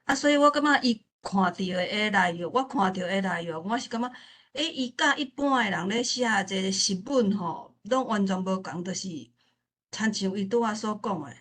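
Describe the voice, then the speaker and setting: 臺灣腔查某人，講話頓頓、重複. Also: female voice, mid-range voice, expressive, a bit bright. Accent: Taiwanese. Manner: halting, repeats phrases. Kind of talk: informal spoken remarks at a literature forum.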